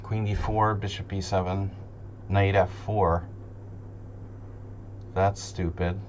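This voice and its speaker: A man streaming chess, thinking aloud in casual, deliberating commentary, muttering to himself between pauses. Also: deep voice, level tone. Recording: dull lo-fi sound, loud hum.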